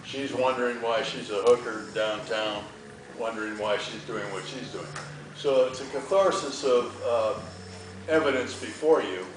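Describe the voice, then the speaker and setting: A man's voice: medium pitch, moderate pace, distant mic. Actor guy explaining stuff on a q&a panel, emphatic, loads of echo and noise. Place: movie theatre Q&A. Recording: very echoey theatre, off-mic, noisy.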